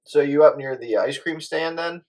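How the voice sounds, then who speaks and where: A man's voice: a little high, moderate pace. A man chatting casually as a radio hobbyist over a two-way radio. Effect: two-way radio transmission. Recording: CB radio with clear static.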